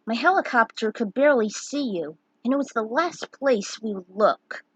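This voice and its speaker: A woman's voice: on the high side, lively intonation, normal speed, slightly rough, no room sound. A woman voicing a loyal robotic cat companion in a story. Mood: relieved.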